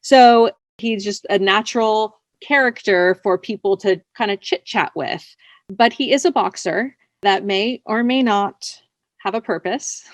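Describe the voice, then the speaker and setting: A woman's voice: medium pitch, measured pace, no echo. A woman being interviewed as a writer, chatting casually in an informal, playful, slightly coy way. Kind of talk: casual chat.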